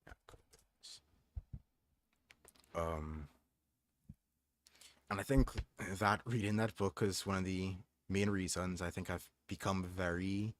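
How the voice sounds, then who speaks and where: A man's voice: low-pitched, fairly even intonation, takes it slowly, long pauses, no room sound. A young man hosting a livestream, reading from a book aloud and chatting in a thoughtful, hesitant way with frequent restarts. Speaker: livestream host.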